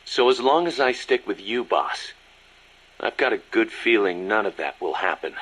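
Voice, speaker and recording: Proud, world-weary ex-soldier. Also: male voice, fairly low pitch, lively intonation, moderate pace, a bit bright, rough recording. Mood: reflective, resolute. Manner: earnest, reflective storytelling. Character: proud, world-weary young male ex-soldier, loyal second-in-command. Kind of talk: video game audio drama acting.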